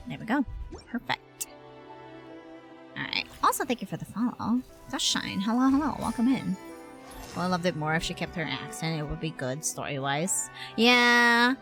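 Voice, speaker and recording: Lively woman livestreamer chatting over game music. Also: mid-range voice. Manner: chatty, slangy, lightly swearing. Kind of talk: casual gameplay livestream commentary. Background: game music and dialogue.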